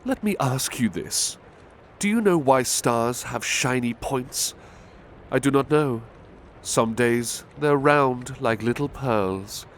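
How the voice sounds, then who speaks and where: A man playing a big-hearted butler, reading poems in a measured, gentle, formal ASMR style. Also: mid-range voice. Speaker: ASMR roleplay performer. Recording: faint ambient background noise.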